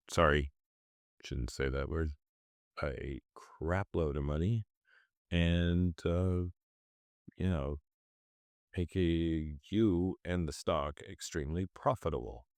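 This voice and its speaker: Deep-voiced guy going over his trades, kinda stuffed up, rambly and correcting himself. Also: darkish tone, no echo, clean studio sound. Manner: rambling, self-correcting, thinks aloud. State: congested from a cold. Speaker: stock trading channel host. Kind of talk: casual screen-share commentary on trades.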